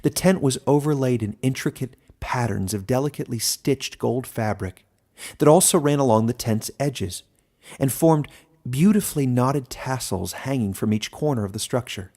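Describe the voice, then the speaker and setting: A man reading scripted fantasy narration as a storyteller, deliberate and expressive. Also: mid-range voice.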